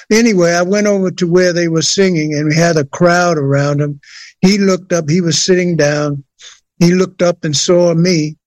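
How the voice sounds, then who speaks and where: An older man from New Jersey, a singer, telling his story in an interview with many pauses and restarts. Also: fairly high pitch, a little mellow, no echo. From USA, New Jersey. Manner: hesitant, many fillers and restarts. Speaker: guest singer.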